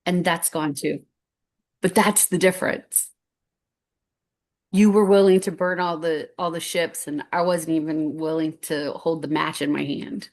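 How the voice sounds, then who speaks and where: A woman's voice: low-pitched, fairly even intonation, unhurried, a little mellow. A woman in her fifties telling a heartfelt personal story on stage, earnest and rambling, with many restarts. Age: 50s. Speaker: guest speaker.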